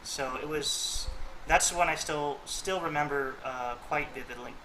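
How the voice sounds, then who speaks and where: A man's voice: fairly high pitch, normal speed, pushed and bright, slight background noise, low-quality recording. A man hosting a casual chat, thoughtful and rambling, with plenty of fillers. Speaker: host.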